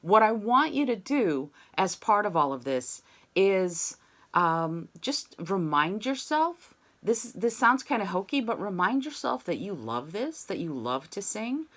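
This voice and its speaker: A woman's voice: mid-range voice, sing-song, a little slow, a bit bright. A woman teaching an online singing lesson, coaching students and demonstrating sung scales on "ma". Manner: encouraging, demonstrates sung vowels.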